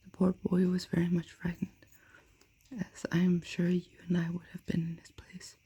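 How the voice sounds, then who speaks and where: A woman softly whispering a fairy tale aloud, as in an ASMR reading. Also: lowish voice, monotone, normal speed. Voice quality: breathy. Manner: soft unvoiced whisper. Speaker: storyteller.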